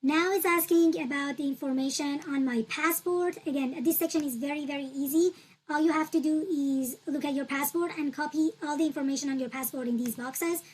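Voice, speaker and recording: A woman whose first language is Turkish, calmly walking through an online form step by step, repeating her points with fillers. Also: high voice, fairly quick, rough recording. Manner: patient, repetitive instructional talk with fillers. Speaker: tutorial presenter. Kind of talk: screen-recorded walkthrough.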